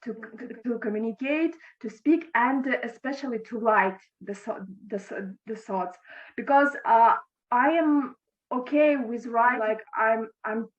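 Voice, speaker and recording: A young woman whose first language is Russian, speaking English as an interview guest, halting and restarting her words. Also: fairly high pitch. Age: young adult. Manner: halting, with frequent restarts and stammers. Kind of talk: video call interview. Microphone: video call. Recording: echoey, dull lo-fi video call.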